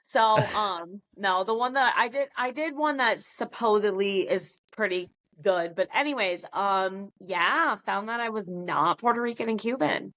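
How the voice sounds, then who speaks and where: A woman's voice: medium pitch, unhurried, hardly any pauses, fairly bright tone. Chatty American woman in casual conversation. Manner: chatty, informal, self-deprecating joking. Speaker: podcast guest. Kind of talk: casual chat.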